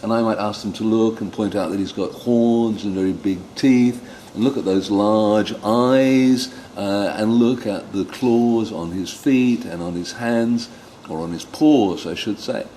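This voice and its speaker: A man giving an instructional demonstration as a storyteller, speaking expressively and pausing for emphasis, with some background noise. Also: lowish voice, warm tone, poor audio. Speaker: storyteller teaching read-aloud technique.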